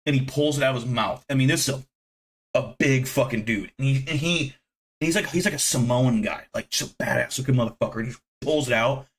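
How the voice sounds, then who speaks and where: A man's voice: mid-range voice, fairly quick, breathy edge. Rambling, profane man in his thirties. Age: 30s. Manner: rambling, profane, self-deprecating. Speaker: streamer. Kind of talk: casual live-stream chat with viewers.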